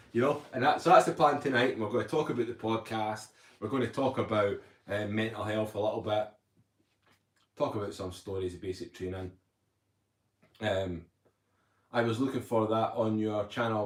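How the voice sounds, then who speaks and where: Guy rambling on his livestream, reads out chat comments, lowish voice. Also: normal speed. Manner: chatty, rambling, reads viewer comments aloud. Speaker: livestream host. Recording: roomy echo.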